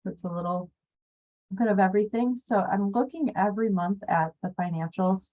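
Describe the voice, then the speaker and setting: A woman's voice: medium pitch, fairly quick. A woman with a cold, sounding sniffly, speaking as a panelist over a video call with many fillers. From Florida. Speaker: grant manager, panelist. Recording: muffled video call audio.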